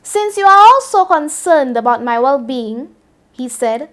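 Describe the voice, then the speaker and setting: A teenage girl from Saint Lucia reads a story aloud. Her voice sits fairly high, with a Saint Lucian accent. She delivers the prose deliberately and expressively, as a student storyteller. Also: animated. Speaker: student reader.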